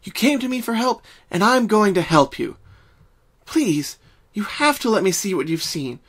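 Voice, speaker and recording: Male reader as caring cartoon mare unicorn: raised pitch, earnest, reassuring friend. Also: big pitch swings, normal speed, fairly bright tone, full character voice. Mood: pleading concern.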